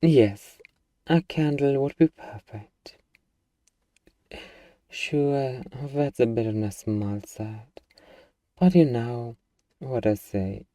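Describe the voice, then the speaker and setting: Man playing a dark-voiced, eager little friendly demon. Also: medium pitch, no room sound, close to an everyday voice. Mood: eager, coaxing. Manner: hesitant, coaxing, playful, with drawn-out hums. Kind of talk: ASMR roleplay monologue, intimate and comedic.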